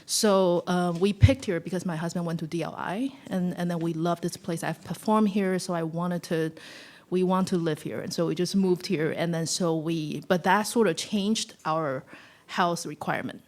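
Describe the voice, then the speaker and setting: A woman's voice: on the low side, steady tone, moderate pace, darkish tone. A woman guest speaker giving a casual, reflective talk, chatty and conversational in manner. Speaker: guest speaker, violinist and educator.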